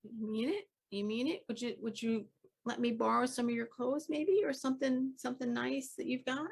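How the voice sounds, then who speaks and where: Woman improvising a jittery little sister, high-pitched, hesitant delivery. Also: normal speed, gravelly, slightly rough. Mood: anxious. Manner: anxious, hesitant, stammering. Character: nervous younger sister before a date.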